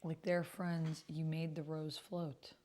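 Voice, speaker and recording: A woman streamer chatting casually and reading typed roleplay aloud, sometimes halting as she types along. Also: deep voice, little pitch movement, mellow, a little hiss or hum. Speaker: streamer playing an online roleplaying game.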